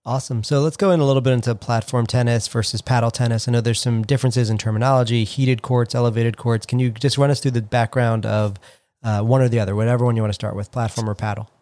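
Fast-talking male podcast host, dry close sound. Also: mid-range voice, steady tone, a little mellow, no room sound. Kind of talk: conversational podcast interviewing.

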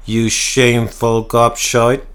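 A man's voice: mid-range voice, monotone, fairly bright tone, clean tone. A man doing a snarling, scornful doctor. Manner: slow, snarling, contemptuous. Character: stern scornful male town doctor.

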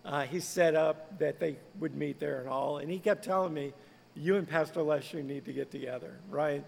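A pastor talks in an earnest, conversational way, his voice a little high with a gravelly edge. The room carries a long reverb behind him. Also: moderate pace. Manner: conversational, earnest, lightly laughing. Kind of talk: podcast interview.

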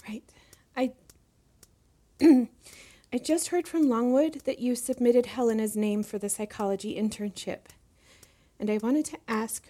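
A woman's voice: on the high side, fairly even intonation, measured pace, pauses often, dark tone. A woman playing an anxious protegee eager to please in an audio drama, hesitant, earnest and apologetic. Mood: nervous.

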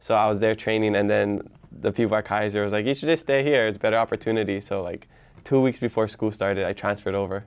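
A man's voice: lowish voice, fast talker, clear, resonant tone. A young man from Island, a Hawaiian speaker, telling his story easily as an interview guest and laughing often. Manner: easygoing, laughs often, rambling restarts. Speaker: podcast host as guest.

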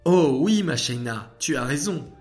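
A storyteller as a kind, animated papa. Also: medium pitch, measured pace, faint music behind. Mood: amused, affectionate. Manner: gentle, affectionate, instructive. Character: kind, patient father, learned and encouraging.

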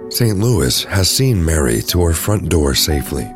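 Very deep male drama narrator. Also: very animated, unhurried, music in the background. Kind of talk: scripted audio drama narration.

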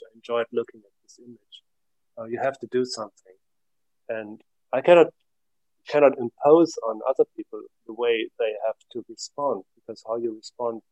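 A middle-aged man from Germany speaks as an academic guest, thinking aloud in long, careful answers. His pitch sits at an ordinary middle level for a man. The sound is dry and close, with no room around it. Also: a little mellow, no echo, low-quality recording. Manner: measured, reflective, trails off at phrase ends. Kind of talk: thoughtful academic interview answers.

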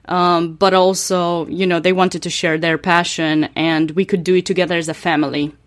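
A woman's voice: on the low side, flat delivery, moderate pace. A woman from Romania with a Romanian accent, a podcast guest speaking in a thoughtful, hesitant way with many ums. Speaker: guest, chess grandmaster and coach. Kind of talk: interview conversation.

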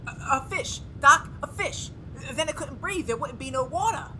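A woman plays a nervous, uneducated male assistant in a vintage horror radio play. The voice is high and jumps around in pitch, with stammers and folksy grammar, over clearly audible rumbling noise. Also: expressive, normal speed, pushed and bright, exaggerated voice, poor audio. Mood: nervous, awed. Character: frightened, simple, loyal male lab assistant. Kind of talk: 1930s-style horror radio drama acting.

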